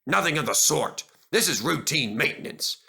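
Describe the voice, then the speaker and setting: A man's voice: on the high side, animated, normal speed, creaky, pushed and bright, exaggerated voice. A man voicing a proud, grumpy old male steam engine with a stuffy, indignant, dignified way of talking.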